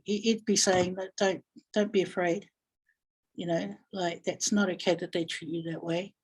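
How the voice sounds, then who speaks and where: A woman giving a reflective talk as a guest speaker, telling stories and thinking aloud with halting, repeated phrases. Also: mid-range voice, darkish tone, husky, low-quality recording. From Samoa or Samoan community in New Zealand. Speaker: guest speaker, family violence practitioner. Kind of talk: reflective webinar talk, storytelling.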